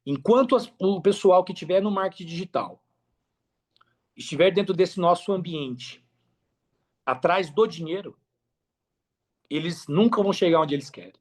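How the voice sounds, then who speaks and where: A man's voice: sits high, moderate pace, pauses often. A Brazilian man talking casually and earnestly on a podcast, repeating phrases for emphasis in a motivational way. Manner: earnest, repetitive for emphasis, conversational.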